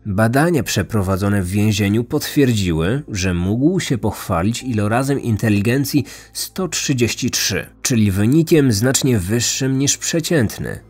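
A man's voice: on the low side, lively intonation, unhurried, few pauses, darkish tone, breathy edge. A man narrating a scripted true crime story as a podcast host. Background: background music.

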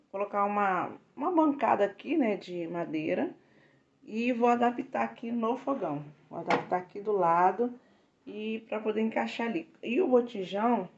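A woman's voice: mid-range voice, dull audio. Chatty woman vlogging in her kitchen. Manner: chatty, repeats "gente" often, pleading for likes. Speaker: home DIY vlogger. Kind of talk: casual chatty vlog, talking to viewers. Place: small tiled home kitchen, indoors. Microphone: phone camera held close.

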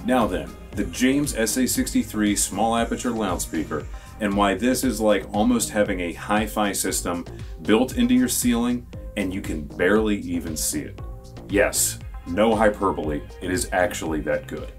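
Casting: American male product presenter, lowish pitch, enthusiastic and emphatic sales delivery, music underneath. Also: normal speed. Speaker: product presenter for an audio store. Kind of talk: scripted product review to camera. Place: small indoor studio with dark backdrop.

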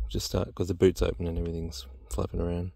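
A very low-voiced man with a creaky, gravelly edge talks casually into a phone around his car. He rambles in an understated way, like a hobbyist thinking aloud as he works. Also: flat delivery, fast talker, dark tone, no room sound, studio quality. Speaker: car audio hobbyist vlogger. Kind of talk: casual unscripted vlog commentary. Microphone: handheld phone.

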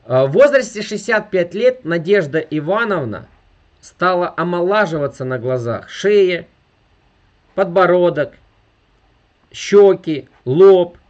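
A man's voice: high voice, animated, unhurried, darkish tone, muffled recording. A man in his thirties giving an emphatic sales presentation over a video call, constantly appealing to his viewers. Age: 30s. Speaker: network marketing presenter. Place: indoor room with furniture.

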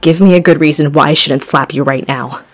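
Woman as a low, sardonic superheroine. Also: fairly even intonation, brisk, faint music behind. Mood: irritated. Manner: threatening, sardonic. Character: irritable, sardonic young dark superheroine.

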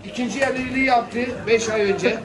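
A man in his forties working at a fish stall, chatting casually and warmly with a vlogger about the market. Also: very high voice, fairly even intonation, brisk, poor audio. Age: 40s. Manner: chatty, friendly banter with warm address. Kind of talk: casual chat. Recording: loud crowd behind. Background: crowded market chatter.

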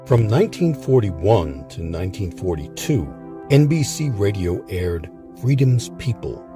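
A man's voice: lowish voice, very animated, a little slow, music in the background. A man hosting a history series, reading a scripted documentary narration.

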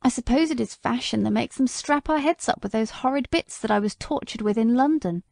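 A woman's voice: a little high, a little fast, creaky, darkish tone, no echo, studio quality. A woman voicing an aggrieved young mare in an audiobook, in a raised, plaintive tone.

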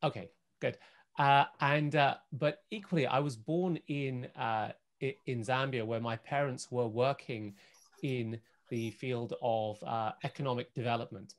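A man in his forties speaking as a panelist on a video call, measured and articulate with frequent fillers. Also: medium pitch, steady tone. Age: 40s. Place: indoor home room. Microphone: video call webcam.

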